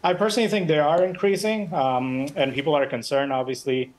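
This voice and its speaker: A man's voice: on the high side, steady tone, a little fast, a little mellow, rough recording. A man from Bangladesh speaking as an eyewitness guest in a live news interview, talking casually with many fillers over a remote link. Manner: casual, conversational, frequent fillers and restarts.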